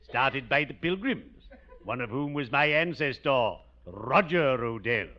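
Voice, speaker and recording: An actor playing a gloomily jovial, genteel undertaker with a formal, drawn-out delivery in 1940s radio comedy style. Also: male voice, medium pitch, expressive, natural voice. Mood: macabre cheer. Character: genteel, gloomily jovial small-town undertaker, a family friend. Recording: dull, noisy vintage 1940s radio recording.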